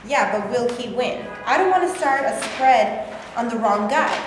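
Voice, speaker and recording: A blunt, skeptical newspaper editor, read by an actress with a fairly high voice. She sounds out in a big echoey studio with a long reverb. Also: lively intonation, measured pace, over music. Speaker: actor in a staged reading. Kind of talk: staged reading. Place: large rehearsal studio.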